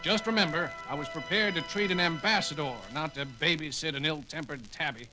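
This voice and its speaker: A man acts as a crabby ship's doctor in a vintage space adventure record drama. His high male voice is testy, wry and folksy, with music clearly playing behind it. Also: fairly quick, natural voice. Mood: grumbling. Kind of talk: 1970s space adventure audio drama acting.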